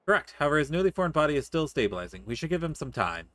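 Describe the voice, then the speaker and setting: A man voicing a composed, formal alchemist from a fantasy game, in a measured, solemn manner, reading the dialogue aloud. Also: a little high, sing-song, a bit bright, slight background noise. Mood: composed, pleased. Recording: music behind the voice.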